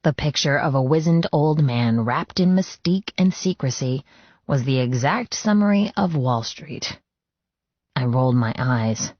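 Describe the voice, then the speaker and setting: A woman's voice: a bass voice, animated, a little slow, dry recording, highs cut off. A woman reading first-person fiction as an audiobook narrator, in a scripted storytelling style.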